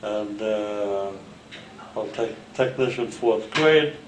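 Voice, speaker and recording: A man, telling his life story in a rambling, halting way with many restarts. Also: on the low side. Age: 80s. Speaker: World War Two veteran recounting family history. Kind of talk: casual oral history interview. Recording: roomy home recording with faint hiss.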